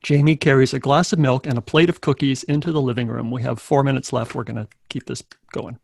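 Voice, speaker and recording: A man narrating stage directions and hosting a table read in a low-key, casual way. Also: steady tone, fast, creaky, no echo.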